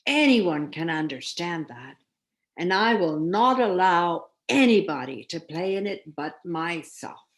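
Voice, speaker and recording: A gruff storybook giant, acted out by a woman, grumpy and selfish. He speaks slowly and emphatically, as one who guards what is his. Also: fairly low pitch, animated. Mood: stern.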